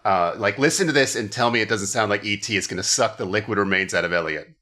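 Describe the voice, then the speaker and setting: A man's voice: lowish voice, fast, bright, forceful tone. A man hosting a comedy podcast, chatting casually with wry, exasperated and profane riffing. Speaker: podcast host.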